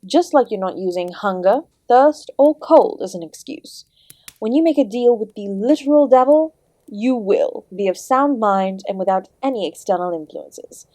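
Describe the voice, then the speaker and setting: A woman acting as the Devil herself, an ancient and charming Princess of Darkness in an audio drama. She speaks at a medium pitch with genteel, theatrical courtesy and a sardonic, teasing edge. The sound is dry and intimate, addressed straight to one listener. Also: lively intonation, moderate pace, mellow, no room sound, natural voice. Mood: amused, proud. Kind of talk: scripted audio roleplay, addressing the listener directly.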